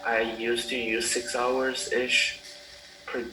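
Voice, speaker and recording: An eighteen-year-old man studying communication, answering in careful, halting phrases. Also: mid-range voice, level tone, echoey room, far from the mic, music in the background, low-quality recording. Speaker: communication student. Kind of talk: casual interview answers.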